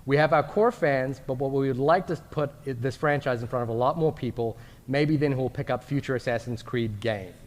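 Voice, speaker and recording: A man's voice: medium pitch, fairly quick, hardly any pauses, long reverb tail. A man co-hosting a film podcast as a live panel at a convention, addressing the audience with frequent restarts.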